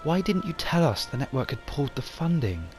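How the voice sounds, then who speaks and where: A man's voice: fairly high pitch, sing-song, brisk, faint music behind. A man voicing a serious, sceptical young woman archaeologist in an amateur motion comic. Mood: earnest, sceptical.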